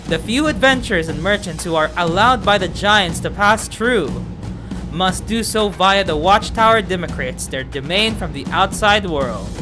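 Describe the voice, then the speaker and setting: A woman game streamer reading story text aloud and commenting with effusive, exclamatory excitement. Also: on the low side, normal speed. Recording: music behind the voice.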